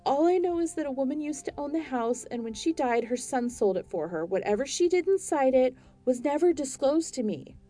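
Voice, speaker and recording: A flustered real estate agent defends herself on a late-night call, as a woman narrator puts her on. The voice is mellow and rounded. Faint music sits behind her. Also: high voice, lively intonation, brisk, hardly any pauses, pitched above the performer's own voice. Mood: defensive.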